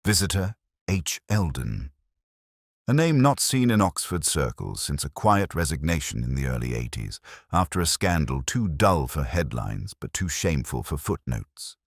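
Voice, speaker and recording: Very deep guy telling a detective story, slow and brooding, pitch swoops around, dry close sound. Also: big pitch swings, no echo. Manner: measured, brooding, literary. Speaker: narrator. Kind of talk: first-person detective story narration.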